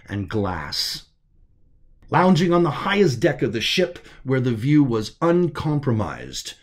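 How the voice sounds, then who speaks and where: A man reading science fiction as scripted audiobook narration, telling the story as its author. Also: mid-range voice.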